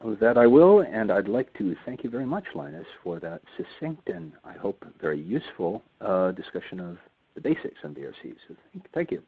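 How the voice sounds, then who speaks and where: Man, husky voice with lively pitch, formal host, phone-line audio. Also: normal speed. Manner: courteous, formal introductions. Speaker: webinar host. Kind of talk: moderating a webinar.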